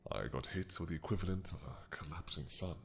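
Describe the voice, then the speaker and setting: A man playing an ancient, regretful dragon king in an audio roleplay, talking slowly and softly with sighs. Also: low voice, gravelly, a little hiss or hum. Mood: brooding. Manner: slow, soft-spoken, musing with sighs.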